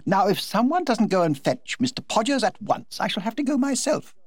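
A man voicing a vivacious forty-year-old society hostess in a high, gushing, theatrical tone. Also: big pitch swings, brisk, dry recording, clean studio sound.

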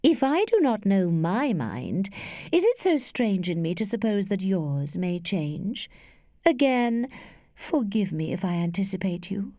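A woman voicing a worldly, flirtatious lady who teases with cool indulgence in a measured, studied way, as in a storybook. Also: mid-range voice, very animated, dark tone, dry recording, clean studio sound. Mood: gently persuasive. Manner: studied, measured, archly playful.

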